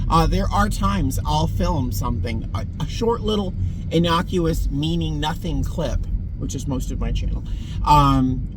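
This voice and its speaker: A man vlogging casually as a flight attendant, talking in a rambling, self-deprecating way with a chesty, slightly raspy voice from allergies. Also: fairly high pitch, very noisy. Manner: rambling, self-deprecating, many fillers and restarts. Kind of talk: casual vlog chat. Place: in a parked car, then airport.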